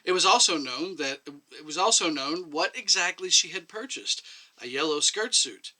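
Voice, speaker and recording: A man reads literary fiction in a very bright, forceful voice with lively intonation. He narrates from a script and shifts into acted dialogue. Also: a little high, moderate pace, poor audio. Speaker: reader of a short story.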